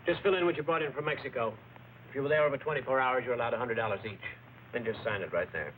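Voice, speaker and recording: An actor playing a polite, businesslike border customs official in old film noir style, with brisk procedural delivery. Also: male voice, medium pitch, phone-quality sound, slight background noise, natural voice.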